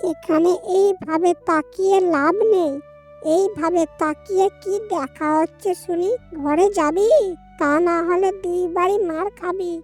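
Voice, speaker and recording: A woman's voice: extremely high pitch, steady tone, a little slow, a little mellow. A woman voicing a cruel, domineering young daughter-in-law in a melodramatic cartoon, harsh and bossy. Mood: threatening. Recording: music under the voice.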